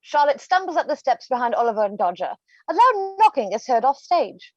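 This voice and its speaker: A woman's voice: sits high, very animated, a little fast, darkish tone. A woman narrating stage directions in a scripted play reading, recorded on slightly dull livestream audio.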